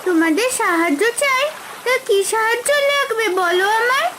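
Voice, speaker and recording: A woman's voice: extremely high pitch, animated, normal speed, heavy background noise. A woman voicing an earnest little village girl, a devoted daughter in a children's cartoon. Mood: concerned. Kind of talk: Bengali cartoon voice acting.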